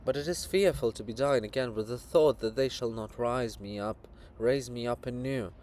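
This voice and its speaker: A man's voice: mid-range voice, normal speed, darkish tone, lightly acted. A man voicing the monument of a proud, anguished princess in a drama, with an impassioned, mournful, declamatory delivery. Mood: anguished, solemn.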